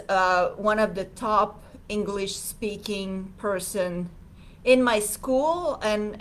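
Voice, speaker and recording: Woman, mid pitch, unhurried pace, casual livestream host who pauses often. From Serbia. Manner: hesitant, many fillers, reads viewer comments.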